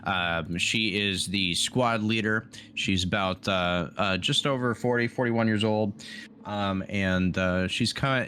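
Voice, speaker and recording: A man's voice: fairly low pitch, pushed and bright, slight background noise. A man chatting casually as a tabletop game player, with a laid-back, hesitant delivery. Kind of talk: casual chat.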